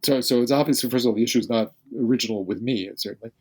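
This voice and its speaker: An older man, a physicist guest in an unscripted podcast interview, speaking haltingly with many restarts and repeated words. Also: mid-range voice.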